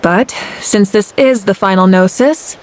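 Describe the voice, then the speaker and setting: A woman voicing a composed, dutiful warrior leader in a game cutscene, speaking in a measured, formal, thoughtful way. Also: mid-range voice, a little mellow, natural voice. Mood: serious, thoughtful. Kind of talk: scripted game cutscene dialogue.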